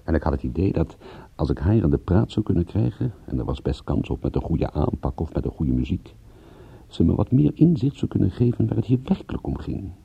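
Deep, husky private detective. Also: male voice, sing-song, no room sound, highs cut off, full character voice. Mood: brooding, wry. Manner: musing, measured storytelling. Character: wry, pensive male private detective. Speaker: detective narrator. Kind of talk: radio play first-person narration.

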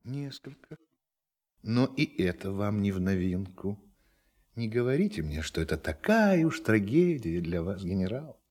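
An actor in a Russian audio drama playing a condescending, gleeful alien visitor with a theatrical, mock-polite manner. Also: male voice, lowish voice, sing-song, unhurried, a little mellow, husky, heavily acted. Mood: smugly condescending.

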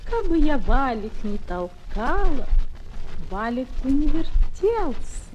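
An actress playing a haughty fairy-tale spring princess, reciting in a deliberate singsong in a children's audio play. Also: female voice, sits high, big pitch swings, darkish tone, full character voice. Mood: self-justifying. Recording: faintly noisy old record.

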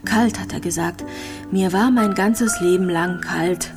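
An actress playing a gravely ill young woman in a radio drama, speaking softly and haltingly, melancholic and introspective. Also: fairly low pitch, natural voice. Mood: reminiscing. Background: music.

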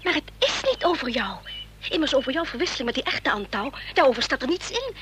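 An actress playing an anxious, devoted companion of a fugitive in a radio drama, speaking earnestly and hesitantly. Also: female voice, sits high, big pitch swings, fast talker, fairly bright tone, slight background noise. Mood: uneasy.

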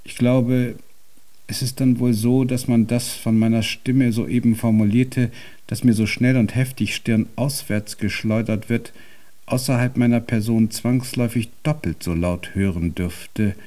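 An actor playing a deaf, cantankerous old composer in a German radio drama, speaking in an ornate, pompous and convoluted way. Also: male voice, lowish voice, fairly even intonation, measured pace, dark tone, natural voice. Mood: brooding, intense.

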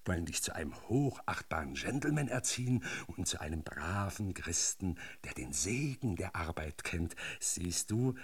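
An actor in a German radio drama playing a menacing, falsely kindly marshal who lectures sardonically, with a husky voice. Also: male voice, sits low, sing-song, normal speed, few pauses, full character voice. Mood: mock-kind menace. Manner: mock-paternal, sardonic lecturing with sudden barked commands.

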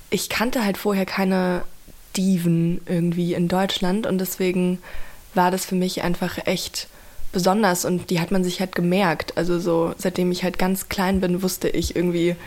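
A woman's voice: on the low side, level tone, normal speed, a little mellow. A woman of about twenty, talking casually and searchingly in an interview, with lots of fillers. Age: around 20. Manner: gushing, loose and searching, heavy with fillers. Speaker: interviewee, young fan.

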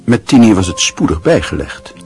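Radio play narrator, very low voice, lively intonation, Netherlands Dutch accent, music behind. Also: male voice, moderate pace. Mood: narrating. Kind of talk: scripted radio drama narration.

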